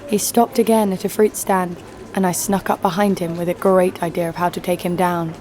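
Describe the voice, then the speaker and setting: A woman's voice: fairly low pitch, flat delivery, a little fast, darkish tone, lightly acted. A woman playing a fearless, sardonic bounty hunter who tells her own story with dry, snarky delivery in an audio drama. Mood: wry narrating. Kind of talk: scripted first-person audio drama narration. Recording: music under the voice.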